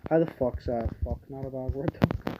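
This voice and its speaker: A man's voice: medium pitch, moderate pace, very noisy. A man chatting casually and reading a comic story aloud as a podcast host, prone to giggling. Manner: breaks into giggles while reading.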